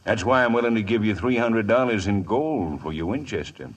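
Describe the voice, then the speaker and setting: Sly husky gun trader. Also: male voice, on the low side, lively intonation, fairly quick. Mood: smooth, satisfied. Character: sly, greedy gun trader, smooth-talking and cool. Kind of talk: 1950s radio western acting.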